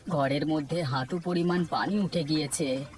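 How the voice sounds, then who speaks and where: A man's voice: a little high, normal speed, slight background noise, lightly acted. A man with a Bangladeshi accent playing a worried, humble family man in a cartoon, speaking plainly and earnestly. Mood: urgent. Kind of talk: Bengali cartoon story dialogue. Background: background music.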